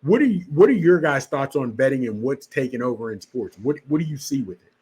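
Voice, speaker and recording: A man in a casual sports podcast, telling stories and firing off rhetorical questions in an animated way. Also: medium pitch, fairly quick, slightly rough, rough recording.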